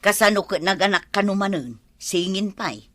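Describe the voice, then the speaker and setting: Actress doing excitable nosy village wife, bright sharp voice, animated, comedy drama, dry sound. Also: female voice, medium pitch, moderate pace, dry recording, lightly acted. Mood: indignant, curious. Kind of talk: Ilocano comedy drama acting.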